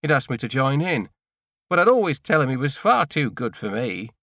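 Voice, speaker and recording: A man reading a first-person novel in an understated, dry, clearly spoken storytelling manner. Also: medium pitch, big pitch swings, normal speed, a bit bright, dry recording. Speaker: audiobook narrator.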